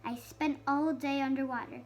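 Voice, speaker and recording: High-voiced child reading a storybook. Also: fairly even intonation. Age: child, about 7 or 8. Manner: halting beginner reading, stumbles on harder words. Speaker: young reader. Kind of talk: reading a picture book aloud.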